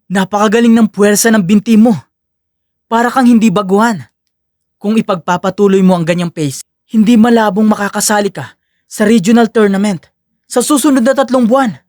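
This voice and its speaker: A man's voice: high voice, fairly quick, a little mellow, no room sound, clean studio sound, lightly acted. A man playing an encouraging male taekwondo coach, instructive and earnest, in an audio drama. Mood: impressed. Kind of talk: audio drama character acting.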